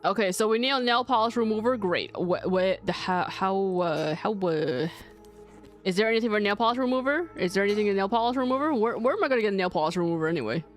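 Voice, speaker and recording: Animated woman doing a chatty let's play. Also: medium pitch, quick pace, soft background music. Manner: chatty, animated, talks back to the game. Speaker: host of a video game let's play. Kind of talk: casual game commentary mixed with reading dialogue aloud.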